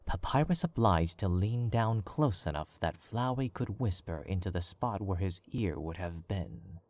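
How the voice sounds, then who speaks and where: A man narrating a fan fiction as an audiobook, in a measured, wry storytelling manner. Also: low voice, expressive, a little mellow, dry recording.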